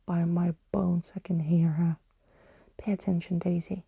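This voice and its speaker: Woman playing storybook skeleton, whispered, weary, scolding, soft mellow tone. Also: on the low side, flat delivery, measured pace, creaky, slightly rough, no room sound, heavily acted. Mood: exasperated. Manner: groaning, reproachful, whispered. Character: exasperated, scolding skeleton companion, weary and wordy.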